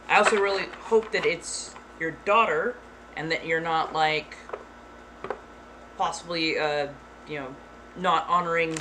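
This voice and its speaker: A woman streaming live who talks to her chat in a plain, blunt way. She keeps her delivery deliberately monotone and swears now and then without fuss. Steady background noise sits under the voice. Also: on the low side. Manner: frank, swears casually.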